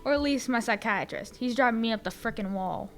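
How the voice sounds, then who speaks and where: A sardonic, needling woman in a comedy radio play, played by a young actress. Her voice sits fairly high and moves quickly, with a dry, deadpan delivery. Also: soft background music, natural voice. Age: young adult. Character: dry, needling woman, mobster's wife and therapist. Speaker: actor in a student audio play. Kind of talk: comic radio play acting.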